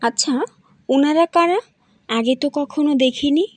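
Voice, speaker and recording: A woman's voice: high voice, a little slow, dry recording. A woman playing a young wife in a cartoon who questions and firmly accuses suspects. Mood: curious. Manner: inquisitive, firm, accusing. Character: young wife turned sharp sleuth.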